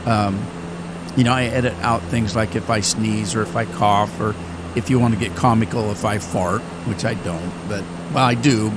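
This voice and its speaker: Older man, lowish voice that cracks now and then, rambling game commentary with pauses and restarts, the drone of a plane engine behind him. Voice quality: voice deepening and cracking with age. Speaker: gaming channel host. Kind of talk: casual gameplay commentary. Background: flight simulator engine noise.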